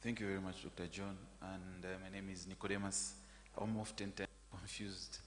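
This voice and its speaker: Flat-voiced man in an echoey hall. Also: low voice, normal speed. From Tanzania. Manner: friendly, hesitant with fillers. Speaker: academic panelist. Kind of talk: academic panel talk. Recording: echoey hall with faint hum.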